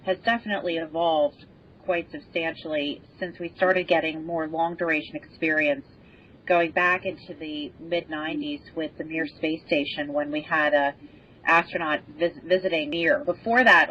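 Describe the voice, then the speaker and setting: A woman speaking as an expert guest on a panel, explaining things in measured detail. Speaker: podcast guest, space expert. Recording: muffled lo-fi audio with rumbling noise.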